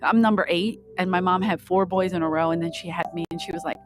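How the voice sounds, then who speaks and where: A woman's voice: fairly low pitch, fairly even intonation, fast talker, music in the background. A middle-aged American woman chatting casually and acting out conversations as she tells stories, swearing freely. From Philadelphia, United States. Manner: laughs often, swears freely, acts out quoted dialogue. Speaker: podcast guest, performer. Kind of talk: casual chat, animated storytelling.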